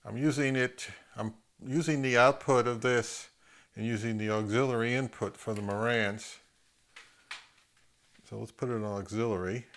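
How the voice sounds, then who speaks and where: A middle-aged man giving a casual, unscripted gear demonstration, thinking aloud in halting phrases as he handles the equipment. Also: mid-range voice, fairly even intonation, fairly bright tone. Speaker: host of an audio gear review channel.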